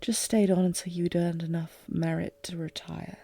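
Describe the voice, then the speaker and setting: A woman playing a lovesick warrior queen, regal yet fragile, in an intimate fantasy audio drama with formal, emotive, halting delivery. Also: fairly low pitch, little pitch movement, creaky, mellow, no room sound. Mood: sorrowful, resigned. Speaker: audio roleplay performer.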